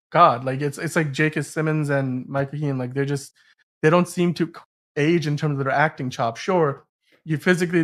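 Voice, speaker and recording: A man hosting a casual film discussion podcast, talking in a rambling, emphatic way and often stumbling over his words. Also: fairly high pitch. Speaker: podcast host.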